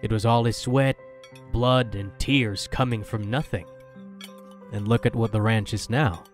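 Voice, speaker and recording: A young Filipino man of wealth, voiced by an actor, speaks very slowly and gently, like the romantic hero of an audio drama. He sounds soft and reassuring, with music under his voice. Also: on the low side, fairly even intonation, lightly acted. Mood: earnest. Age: young adult. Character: gentle, reassuring rich young rancher's son, romantic lead. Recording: music bed behind voice.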